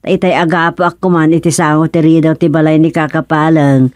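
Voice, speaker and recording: A woman's voice: deep voice, little pitch movement, a little fast, a little mellow, dry recording, natural voice. A woman playing a talkative, fussing village woman in a radio comedy-drama, with an Ilocano accent and an exclamatory, questioning way of talking. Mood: animated, urgent.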